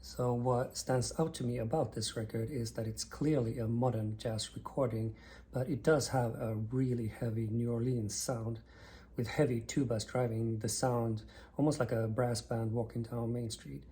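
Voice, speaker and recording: A man from northern Sweden, in his thirties, hosting a vinyl record channel in enthusiastic, measured scripted narration. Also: medium pitch, fairly even intonation, mellow.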